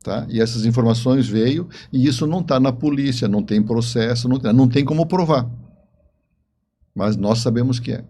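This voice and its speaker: A man with a dark, very relaxed voice at an average pitch. He talks as a guest in conversation, telling stories and repeating short phrases to drive them home. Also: moderate pace, slight background noise. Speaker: interview guest, novelist. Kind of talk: conversational storytelling.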